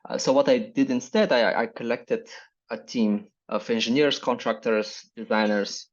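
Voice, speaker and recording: A man from Bulgaria with a Bulgarian accent, a startup founder speaking in a measured, reflective way as an interview guest. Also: mid-range voice, steady tone, lo-fi sound. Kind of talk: business interview.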